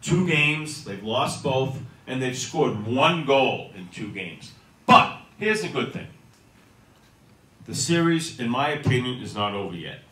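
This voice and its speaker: A man's voice: medium pitch, expressive, unhurried, fairly bright tone, distant mic. A middle-aged man hosting a casual sports talk show, making his points with punchy emphasis into a handheld mic in a small restaurant. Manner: emphatic, punchy delivery with rising stresses. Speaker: host. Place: small restaurant dining room, seated at a table. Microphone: handheld microphone. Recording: echoey room, a bit off mic.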